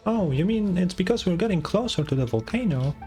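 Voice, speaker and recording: Small fretful game fairy, played by a man: high, chirpy voice, swooping and excitable. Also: a little fast, faint music behind. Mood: curious, chatty. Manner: chirpy, exclamatory, questioning. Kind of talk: video game dialogue read aloud.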